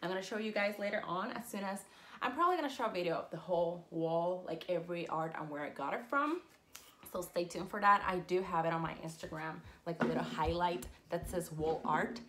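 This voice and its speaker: A woman's voice: fairly low pitch, fairly quick, slight background noise. A young woman in her twenties, raised in Puerto Rico with Spanish as her first language, chatting casually and animatedly in a collector's haul video from a room full of shelves. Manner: chatty and animated, squealing with excitement, frequent laughs. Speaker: collector vlogger. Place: collectibles-filled room indoors. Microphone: camera at desk distance.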